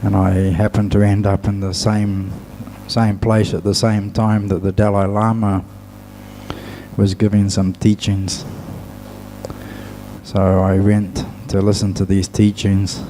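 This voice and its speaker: Man, Buddhist monk teaching, fairly even intonation, slightly soft dark tone, measured phrases with long pauses, faint background hum. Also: on the low side, rough recording. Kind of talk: dhamma talk to an audience.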